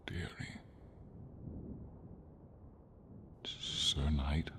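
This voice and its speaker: A man voicing a friendly, devoted silver dragon in an ASMR fantasy roleplay, deep and breathy, speaking tenderly with dramatic pauses. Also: pushed and bright, a little hiss or hum, heavily acted. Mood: awed, grave.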